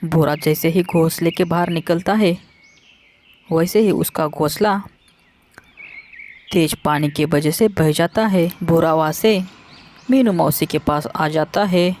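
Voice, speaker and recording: A woman reading scripted narration for a children's animated story. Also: low voice, slow pace, pauses often.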